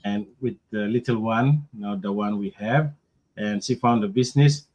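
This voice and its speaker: A man's voice: on the low side, normal speed, a little mellow. A man from Guinea, a guest answering hesitantly over a video call, with many ums. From Papua New Guinea. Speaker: guest, former electrician. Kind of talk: interview answers.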